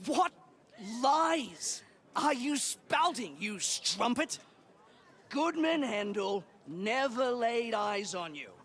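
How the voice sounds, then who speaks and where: A man's voice: very high voice, lively intonation, slow, a bit bright, exaggerated voice. A man acting a sneering, contemptuous household servant in a video game, with a scolding manner. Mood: indignant sneer.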